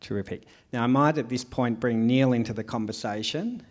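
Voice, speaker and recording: A man's voice: mid-range voice, fairly quick. A man moderating a panel, asking supportive questions and bringing speakers in. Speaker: panel facilitator.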